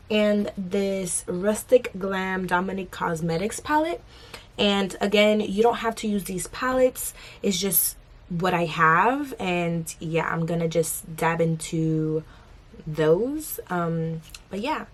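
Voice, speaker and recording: A young woman with a raspy, hoarse voice after losing it, chatting casually through a makeup tutorial and giggling now and then. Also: mid-range voice. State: hoarse, lost voice. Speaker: beauty vlogger.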